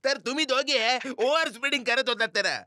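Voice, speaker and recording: A man's voice: very high voice, moderate pace, bright, forceful tone, dry recording, heavily acted. An actor playing an officious, boastful cartoon policeman, smug and emphatic, repeating himself for effect. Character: officious, boastful policeman fining speeding drivers. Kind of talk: exaggerated cartoon dubbing.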